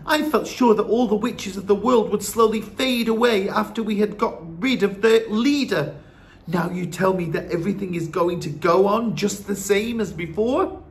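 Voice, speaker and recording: A man's voice: high voice, normal speed, voice pushed up. A man voicing an anxious, eager young boy who has been turned into a mouse, exclaiming and questioning in a storybook reading. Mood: distressed protest.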